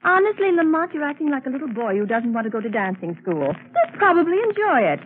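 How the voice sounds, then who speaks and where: An actress playing a spirited, bossy society woman, brisk and teasing, in a 1930s radio drama. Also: sits high, very animated. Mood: impatient, chiding. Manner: brisk, chiding, gushing. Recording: crackly, narrow-band 1939 radio broadcast.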